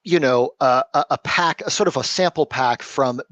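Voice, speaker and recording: A man's voice: mid-range voice, normal speed, a bit bright, no echo. A man on a panel answering a question in a rambling, hedging way full of fillers. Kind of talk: casual panel discussion.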